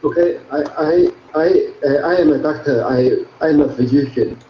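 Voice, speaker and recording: A man's voice: a little high, normal speed, slight background noise. A man from Taiwan with a Chinese accent, a native Mandarin speaker and physician, talking hesitantly on a casual online call. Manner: hesitant, repeats words. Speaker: caller, physician. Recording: echoey, dull lo-fi line.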